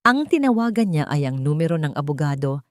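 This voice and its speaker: A woman's voice: deep voice, very animated, brisk, no room sound, studio quality. A woman reading a dramatic scripted story as an audiobook narrator, with solemn, suspenseful storytelling.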